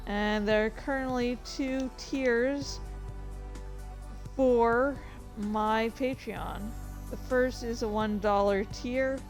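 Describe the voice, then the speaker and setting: A woman streamer chatting casually, rambling and interrupting herself with playful excitement. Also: a little high. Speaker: streamer and author. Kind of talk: casual livestream chat.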